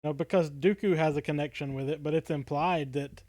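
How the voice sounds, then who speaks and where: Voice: mid-range voice, steady tone, a little fast, a little mellow. A podcast co-host talking casually, thinking aloud in a tentative way.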